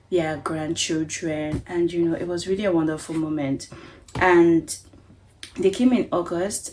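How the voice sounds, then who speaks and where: A woman from Cameroon, in her thirties, talks earnestly to the camera while tidying her room at home. Her voice sits a little low, and a faint hiss stays in the background. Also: a little mellow, poor audio. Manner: earnest, frequent tag questions. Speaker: vlogger. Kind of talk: reflective talk to camera. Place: home bedroom.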